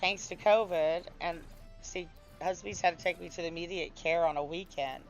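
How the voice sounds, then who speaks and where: A woman streamer with a hoarse voice, chatting casually with viewers and a co-player while gaming. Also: fairly low pitch, fairly even intonation, normal speed, muffled recording, faint music behind. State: hoarse, recovering lost voice.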